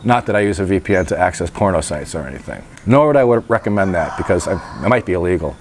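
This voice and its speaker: A man's voice: sits low, lively intonation, quick pace, slight background noise. A man vlogging outdoors in casual talk, a little nasal from a stuffed-up nose, with chatty, wry asides. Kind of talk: casual vlog talk to camera. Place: outdoors in sunshine by a gate.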